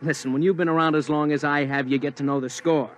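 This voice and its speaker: A blunt, streetwise everyman, played by a man in a 1950s radio play. His voice sits a bit high, and he is folksy and dismissive. A faint hiss of noise runs under him. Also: steady tone, fast talker, close to an everyday voice. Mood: skeptical. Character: blunt streetwise middle-aged everyman, skeptical. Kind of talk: 1950s radio drama acting.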